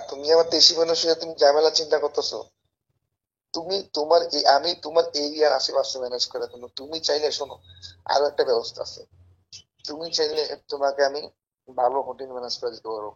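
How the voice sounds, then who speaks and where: A Bangladeshi man making an informal phone sales pitch in a pushy, persuasive, repetitive way. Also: breathy edge, highs cut off. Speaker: caller pitching a service as a tout.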